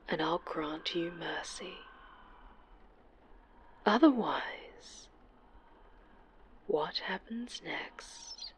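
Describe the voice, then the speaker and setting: A woman voicing an obsessive, vengeful superhero villain in a horror ASMR roleplay, sweetly menacing and breathy, often slipping into whispers. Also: fairly low pitch.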